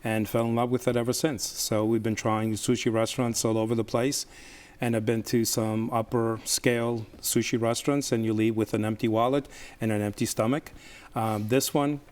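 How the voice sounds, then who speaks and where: Flat-toned man reviewing restaurants. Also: mid-range voice, normal speed. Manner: enthusiastic, storytelling, frequent "uh" fillers. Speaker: guest reviewer, surgeon. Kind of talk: casual chat.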